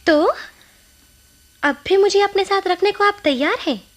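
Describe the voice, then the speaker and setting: High-voiced anxious, defiant young village wife. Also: female voice, measured pace. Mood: bitter, defiant. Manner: dramatic, pointed, emotionally charged. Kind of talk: Hindi radio play acting.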